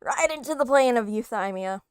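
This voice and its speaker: A woman's voice: fairly high pitch, lively intonation, moderate pace, heavy background noise. A woman giving casual, playful, slangy commentary while playing a video game. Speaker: gamer doing a let's play. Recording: game music behind the voice.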